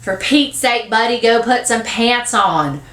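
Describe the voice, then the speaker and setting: An exasperated mother from a storybook, acted out by a woman reader. She is bossy and scolding, firing off orders and threats at a raised pitch in an echoey room. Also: expressive, normal speed. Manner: sharp commands, threatening, hollering.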